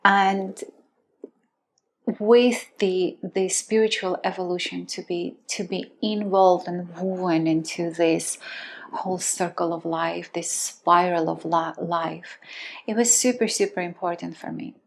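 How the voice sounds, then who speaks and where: A woman in her forties with a fairly low voice tells her own story. She speaks earnestly, repeats words for emphasis and slows down on the phrases that matter to her. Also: steady tone, gravelly. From Armenia. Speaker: love and relationship teacher. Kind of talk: personal storytelling.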